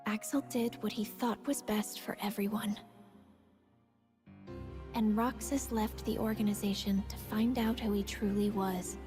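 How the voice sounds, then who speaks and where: A woman reading scripted narration for a video game story recap in a measured, solemn storytelling manner. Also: mid-range voice, steady tone, over music.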